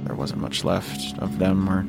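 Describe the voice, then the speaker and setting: An actor playing a weary, protective middle-aged father in a post-apocalyptic audio drama, speaking in a hesitant, low-key way. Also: measured pace, vocal fry, heavily acted. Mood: subdued, reflective. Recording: music under the voice.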